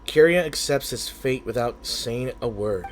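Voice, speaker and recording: A man's voice: medium pitch, lively intonation, unhurried, slight background noise. A man hosting a gaming video with casual, informal, reactive commentary on a playthrough.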